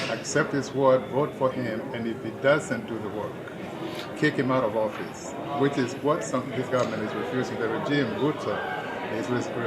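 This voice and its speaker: A man with a Kenyan accent, interviewed at a town hall, speaking earnestly and persuasively with frequent restarts. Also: medium pitch. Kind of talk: street interview, political commentary. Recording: loud crowd behind.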